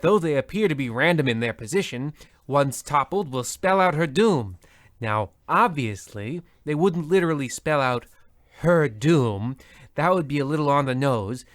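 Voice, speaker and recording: A man's voice: on the high side, lively intonation, moderate pace, a bit bright, no room sound, studio quality. A man playing a pompous, melodramatic radio narrator who rambles pedantically, in a parody of old-time radio. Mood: ominous, then pedantic.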